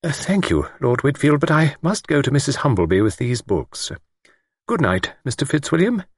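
A man voicing a prim, gentle, genteel older lady in an audiobook, speaking politely and properly. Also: mid-range voice, sing-song, normal speed, breathy edge, no echo, studio quality, voice pushed up.

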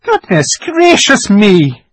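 A man's voice: high-pitched, wildly swooping pitch, unhurried, noisy background, voice pushed up, full character voice. A man voicing a polite, fussy, eager fawn creature in a storybook, with formal, flustered courtesy. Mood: startled.

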